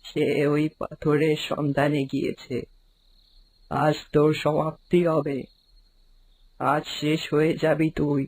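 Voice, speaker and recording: A man voicing a kindly, worried old village aunt in a horror cartoon, speaking in a flat, earnest, warning way. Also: on the high side, slow, long pauses, dry recording, rough recording.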